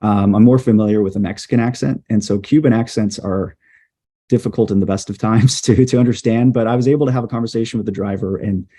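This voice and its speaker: Deep, mellow-voiced man telling a story. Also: breathy edge. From USA. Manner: animated, hesitant fillers, quickens at times. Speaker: storm chaser and journalist, guest. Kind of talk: casual interview storytelling.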